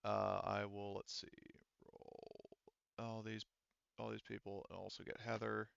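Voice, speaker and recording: A man's voice: fairly low pitch, completely flat delivery, unhurried, gravelly, a bit bright, clear, resonant tone, dry recording, lo-fi sound. A man running a tabletop roleplaying game as game master, speaking casually and swearing freely. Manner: casual, profane.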